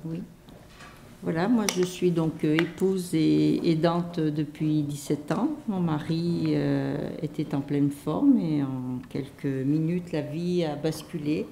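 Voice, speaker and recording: A woman caregiver giving a measured, hesitant personal testimony at a conference round table. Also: low voice, fairly even intonation, gravelly, mellow, slight background noise. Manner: measured and hesitant, many pauses and restarts. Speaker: caregiver panelist.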